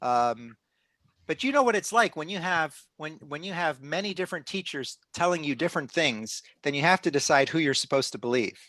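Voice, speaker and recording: A middle-aged man hosting a live online stream, explaining the project in a relaxed way with many restarts and fillers. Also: a little high, brisk, no room sound. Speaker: host of a live citizen-science stream.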